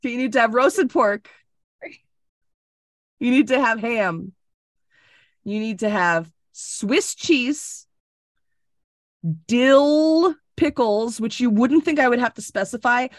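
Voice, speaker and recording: A woman's voice: a little high, slow pace, no room sound, studio quality. Emphatic, swearing woman from Florida. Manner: animated, emphatic, swears freely. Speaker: podcast co-host. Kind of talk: casual chat over video call. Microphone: video call.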